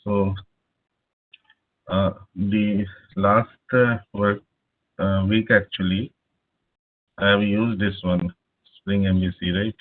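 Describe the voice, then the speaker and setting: Man, programming instructor, halting speech, flat monotone, tinny phone-like audio. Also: fairly low pitch. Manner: halting, many filler "uh"s. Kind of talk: live online class. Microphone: laptop speakerphone, no headset.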